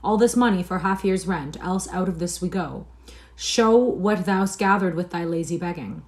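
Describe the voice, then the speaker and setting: A woman reading aloud and voicing a brutal, rough middle-aged father with loud, bullying jeers. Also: on the low side, normal speed, close to an everyday voice.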